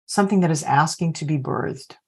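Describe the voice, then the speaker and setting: A woman's voice: low-pitched, fairly quick, husky. A woman coaching leaders on a video call, reflectively posing open questions to the group. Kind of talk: workshop facilitation.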